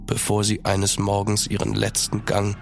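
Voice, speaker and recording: A melancholic aristocrat recounting his life in a radio play. His voice is low and creaky, his delivery grave and formal, with clear music underneath. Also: monotone, normal speed. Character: grave, weary aristocratic French diplomat recounting his past. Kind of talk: scripted first-person narration in audio drama.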